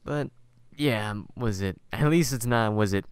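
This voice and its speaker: A man hosting a podcast in casual conversation, talking in a rambling, halting way and often correcting himself. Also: medium pitch, clean tone, dry recording. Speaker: podcast host. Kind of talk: casual interview chat.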